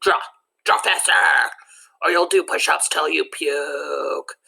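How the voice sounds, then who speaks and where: A woman doing a gruff, bossy gym coach. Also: low-pitched, fairly even intonation, takes it slowly, bright, forceful tone, slight background noise, full character voice. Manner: barking, threatening. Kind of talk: storybook read-aloud.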